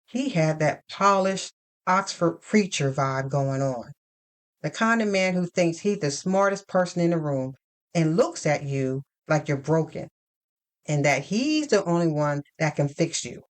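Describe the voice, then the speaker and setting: A woman narrating first-person audio fiction in a dramatic, deliberate storytelling manner. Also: low voice, lively intonation.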